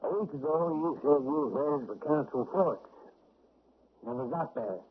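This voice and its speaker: A man's voice: mid-range voice, animated, normal speed, lightly acted. Man voicing a stern old country sheriff, drawling, probing, 1970s radio play, tinny old broadcast, faint hiss. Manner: drawling, folksy, probing questions. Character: older rural male sheriff, shrewd and stern. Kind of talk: 1970s radio drama acting.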